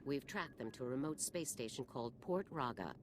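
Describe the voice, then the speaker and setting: A woman's voice: rumbling low, animated, fairly quick, noisy background. An actress playing a stern female military general in a video game, speaking in a formal, authoritative, clipped manner. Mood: grave. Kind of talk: video game character acting.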